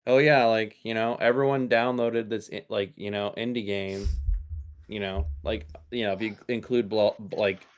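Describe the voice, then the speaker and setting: A man's voice: on the low side, fairly even intonation, moderate pace, clean tone. A man co-hosting a casual gaming podcast, laid-back, piling up fillers and swearing now and then. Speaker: podcast co-host. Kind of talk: casual gaming news chat.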